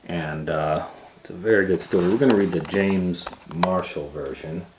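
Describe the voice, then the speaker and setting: A man reading a children's storybook aloud as host, theatrical and folksy, with drawn-out phrasing and asides. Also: sits low. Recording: roomy sound with clear background noise.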